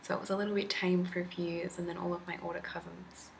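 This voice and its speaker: Woman in her twenties, whispered chat, rambling, gravelly, grainy laptop recording with hiss. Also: level tone, fast. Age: 20s. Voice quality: slightly deep whisper, not soft-spoken. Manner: rambling, chatty, laughs often. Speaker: ASMR creator. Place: candlelit room at home. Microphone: laptop mic.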